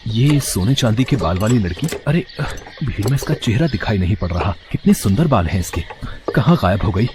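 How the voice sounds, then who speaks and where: A man's voice: lowish voice, lively intonation, brisk, noisy background, natural voice. A man voicing a lovestruck, determined young prince in a fairy tale, formal and resolute. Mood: wistful.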